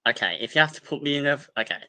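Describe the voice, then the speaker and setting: A man's voice: a little high, expressive, racing, sharp tone. A young Australian man, a podcast host, chatting casually and swearing as he reads out cast bios and reacts to them.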